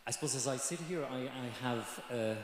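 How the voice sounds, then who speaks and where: An older man with an Irish accent speaking as a mature student on a conference panel, measured and hesitant with many ums. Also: medium pitch, slight background noise. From Ireland. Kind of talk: panel discussion remarks. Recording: echoey conference hall.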